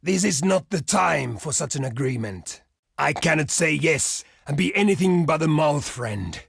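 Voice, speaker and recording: A proud barbarian chieftain from a strategy video game. His voice sits a little high for a man and is thick with gravel and husk, recorded close and dry. He declaims solemnly and defiantly in old-fashioned phrases. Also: lively intonation, unhurried, a bit bright, dry recording, exaggerated voice. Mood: firm, measured refusal. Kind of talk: dramatic game diplomacy voice acting.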